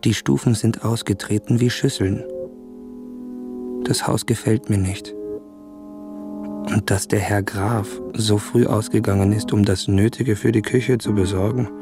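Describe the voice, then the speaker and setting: A man's voice: on the low side, fairly even intonation, unhurried, long pauses, music in the background. An actor playing an observant, uneasy young traveller of minor nobility, speaking his inner thoughts in a radio drama. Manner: reflective, terse observations. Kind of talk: interior monologue in literary radio drama.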